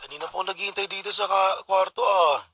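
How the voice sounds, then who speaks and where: A man's voice: high-pitched, fairly even intonation, fast talker, heavy background noise. A man with a Filipino accent playing a needy, hapless boyfriend in a whiny, exaggerated comedy radio drama style. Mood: sulky, needy. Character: needy, hapless boyfriend fixated on other women. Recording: music behind the voice.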